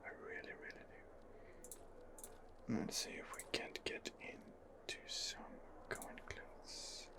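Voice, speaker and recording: A man giving hushed ASMR game commentary in a breathy whisper, musing hesitantly to himself as he plays. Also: takes it slowly, long pauses. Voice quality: breathy whisper with gravelly creak. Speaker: gameplay host.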